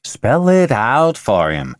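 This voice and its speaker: A man voicing a blunt businessman who draws out his words slowly and deliberately. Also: mid-range voice, wildly swooping pitch, no room sound. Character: slow, blunt businessman, fashion coordinator.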